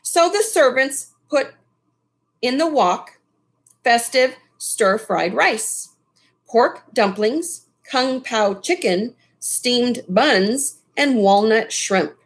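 Unhurried, expressive woman reading a storybook. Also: on the high side. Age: middle-aged. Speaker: storybook reader. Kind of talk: children's read-aloud with asides to listeners. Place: small furnished room at home.

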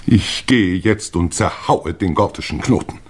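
An actor playing an older, protective English lord and uncle in a 1950s German radio play, speaking formally and indignantly. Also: male voice, deep voice, lively intonation, a little slow, slightly rough, full character voice. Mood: stern. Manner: formal, indignant, ornate phrasing.

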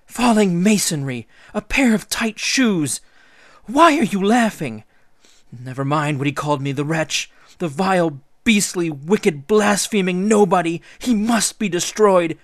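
Man putting on a petulant, raging goddess, high-pitched. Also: expressive, normal speed, no room sound, clean studio sound. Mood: indignant, spluttering. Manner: petulant, shrill outbursts and hissed threats. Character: furious, sobbing, spoilt goddess, vengeful and demanding.

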